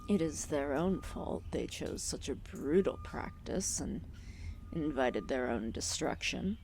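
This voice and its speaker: Slow, dark-voiced serpent goddess, acted by a woman. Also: sits low, expressive, a little hiss or hum, full character voice. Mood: solemn, detached. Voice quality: soft, breathy, often dropping into whispers. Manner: slow, deliberate, formal phrasing with long pauses. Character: calm, solemn serpent deity, ancient and gently possessive. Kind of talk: ASMR roleplay acting.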